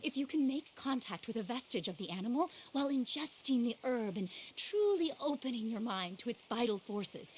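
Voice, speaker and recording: Game character, eccentric young female naturalist, high swooping voice, breathless, theatrical, noisy backdrop. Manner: breathless, theatrical, dreamy then indignant. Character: passionate, eccentric young woman naturalist, zealous animal lover. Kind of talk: video game cutscene acting.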